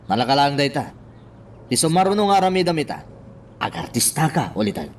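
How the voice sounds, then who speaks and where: An actor with a Filipino accent playing a smug, persuasive schemer in a radio drama. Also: male voice, on the high side, big pitch swings, brisk, a little hiss or hum. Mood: scheming. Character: smug, scheming man directing a staged kidnapping.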